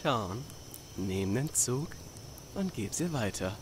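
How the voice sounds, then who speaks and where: A man's voice: medium pitch, very animated, slow, background noise. A man playing a cheeky, party-loving young drifter in a German game fan dub, casual and teasing. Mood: mellow teasing.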